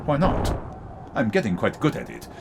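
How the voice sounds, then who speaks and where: A man's voice: medium pitch, very animated, normal speed, background noise. An actor playing a cold, smug and menacing corrupt police commissioner in a sci-fi audio drama, mock-courteous in his cruelty. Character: cold, sadistic corrupt male police commissioner, older villain.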